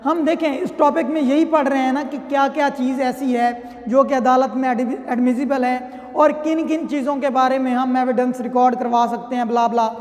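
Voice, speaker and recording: A Pakistani man speaks in a strikingly high voice, explaining material as a lecturer would to students. His delivery is conversational and instructive. The sound carries a long reverb, as in a large hall. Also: steady tone, moderate pace, darkish tone. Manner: conversational, reads clauses then explains them. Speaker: law lecturer. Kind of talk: explanatory lecture with worked examples.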